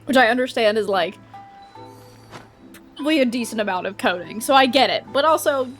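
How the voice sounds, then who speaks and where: A woman streaming a video game, reacting casually out loud. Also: fairly high pitch, lively intonation, fairly quick. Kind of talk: casual live reaction commentary. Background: game music.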